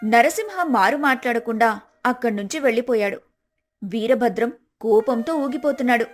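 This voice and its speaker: A woman's voice: fairly high pitch, normal speed, faint music behind. A woman narrator telling a scripted folk tale.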